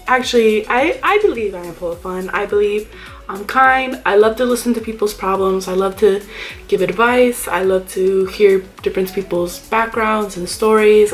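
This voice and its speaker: A young woman vlogging at a middling pitch, rambling and thinking out loud as she answers questions about herself. Her talk is loose and casual. Soft music plays faintly behind her. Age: young adult. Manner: rambling and self-reflective. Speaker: YouTuber. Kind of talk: casual vlog chat.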